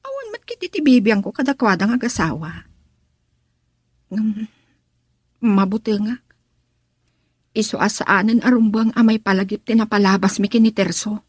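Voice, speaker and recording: A woman's voice: mid-range voice, lively intonation, moderate pace, long pauses, darkish tone, husky, dry recording, studio quality. A woman acting a concerned daughter, earnest and confiding, in an Ilocano radio drama.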